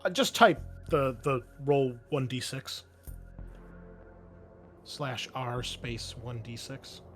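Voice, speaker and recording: A man acts as game master for a tabletop roleplaying game. His voice is of middling pitch, and he explains things in a halting, thoughtful way. Faint music plays behind him.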